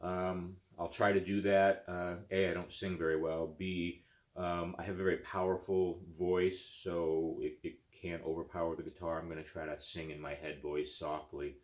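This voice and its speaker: A man's voice: low-pitched, flat delivery, moderate pace. A man in his fifties, seated on a living-room couch, talks casually about his guitar while demonstrating it, rambling with fillers and restarts. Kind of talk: casual demo talk. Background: acoustic guitar playing.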